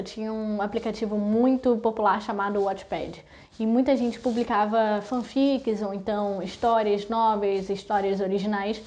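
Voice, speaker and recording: Mellow-voiced young Brazilian woman vlogging. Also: a little high. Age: young adult. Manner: chatty, lists things in quick runs. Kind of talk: casual talk to camera.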